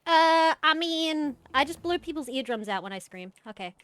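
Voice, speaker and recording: A hyper, bubbly woman with a high-pitched voice, chatting casually while streaming a game. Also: lively intonation, normal speed, fairly bright tone, no echo. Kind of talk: casual gaming stream chat.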